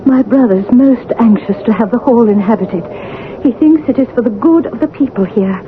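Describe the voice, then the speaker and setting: A woman's voice: a little high, moderate pace, narrow, tinny audio, lightly acted. An actress playing an anxious, well-mannered young woman, hesitant and earnest, in a radio mystery drama. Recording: band-limited old radio broadcast with hiss.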